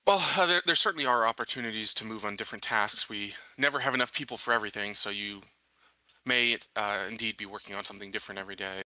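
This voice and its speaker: A man's voice: lowish voice, quick pace, pushed and bright, no room sound, low-quality recording. A man playing a courteous colony marshal in a tabletop roleplay, speaking formally and politely with hesitant phrasing. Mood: courteous, measured. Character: courteous colony marshal interviewing a new recruit. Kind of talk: improvised in-character tabletop roleplay.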